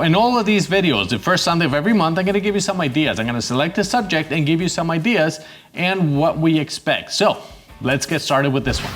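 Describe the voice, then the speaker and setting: A man from Costa Rica hosting his photography channel in a chatty, upbeat way, with bursts of excitement. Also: on the high side. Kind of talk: casual YouTube presenting.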